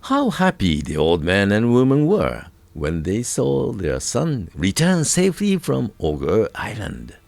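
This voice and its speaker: A man with a Japanese accent narrating a folk tale from a script, in a deliberate, expressive storybook manner with dramatic emphasis. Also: fairly low pitch, gravelly, a little hiss or hum. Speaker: storyteller, radio voice crew narrator.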